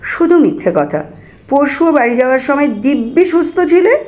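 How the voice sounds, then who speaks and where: A woman voicing a fussy, teasing househusband and father in an audio story, with a brisk, chiding, familiar manner. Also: high voice, sing-song, darkish tone, voice pushed up.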